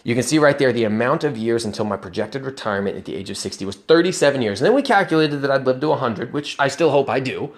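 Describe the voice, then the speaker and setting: Young man in his twenties, mid-pitched voice, casual earnest vlogger who turns emphatic. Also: animated, quick pace, hardly any pauses. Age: 20s. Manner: earnest, emphatic, building to bursts of conviction; conversational with restarts and self-corrections. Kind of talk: personal finance vlog over slides.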